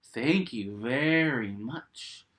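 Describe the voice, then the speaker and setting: A man voicing a sweet, polite female tooth fairy in a storybook, speaking softly and delicately. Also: medium pitch, lively intonation, drawn-out words. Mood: gentle, pleased.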